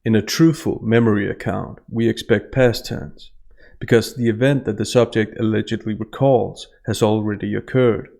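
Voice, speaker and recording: A man giving scripted analytical narration in a measured, formal manner over instrumental music. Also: fairly low pitch, fairly even intonation, creaky, mellow. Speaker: host analyst of statements.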